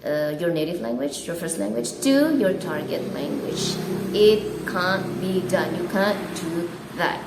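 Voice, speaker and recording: A woman who speaks natively, hosting an English-learning channel and explaining a lesson slowly and deliberately, with some filler words. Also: on the low side, expressive. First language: Indonesian. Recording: echoey room with clear background noise.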